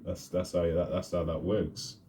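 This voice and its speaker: A young man talks to camera in a loose, rambling way. His voice sits very low and sounds dark and mellow, pushed deep on purpose. The delivery stays laid-back and unhurried. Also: flat delivery, a little hiss or hum. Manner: deliberately deepened, laid-back voice. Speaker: vlogger. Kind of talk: rambling casual monologue.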